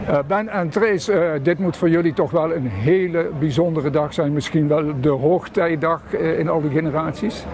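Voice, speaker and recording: Brabant guy doing a local tv interview outside, halting, lots of ehs, crowd noise. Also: a little high. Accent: Brabant Dutch. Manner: halting, many fillers and short yeses. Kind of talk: casual on-location interview. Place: outdoors at a bakery event with a crowd. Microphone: boom mic on a stand. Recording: outdoor crowd chatter behind.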